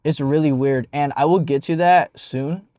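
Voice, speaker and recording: A man's voice: fairly high pitch, steady tone, normal speed, dark tone, no echo, clean studio sound. Young man who stutters, telling a story. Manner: stutters, with many fillers and restarts. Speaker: vlogger. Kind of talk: casual storytime vlog.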